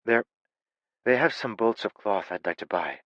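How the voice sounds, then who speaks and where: A man's voice: lowish voice, normal speed, dry recording, dull audio, voice pushed up, close to an everyday voice. A man voicing a cautious, sensible young woman in an audiobook, speaking in a cautious, patient way.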